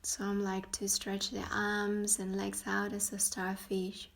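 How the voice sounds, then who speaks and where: A woman guiding a yoga nidra meditation in a soothing way, leaving long pauses between phrases. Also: mid-range voice, completely flat delivery, gravelly. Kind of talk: guided meditation.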